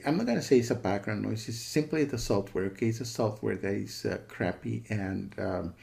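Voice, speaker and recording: A man giving a casual spoken software review in a disgruntled, emphatic way, often repeating himself and checking in with the listener. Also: fairly low pitch, brisk, gravelly.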